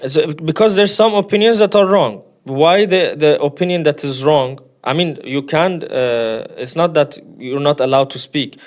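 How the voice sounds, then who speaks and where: A man's voice: fairly high pitch, normal speed, few pauses. A man speaking as a podcast guest in an opinionated discussion, making his points emphatically and repeating them.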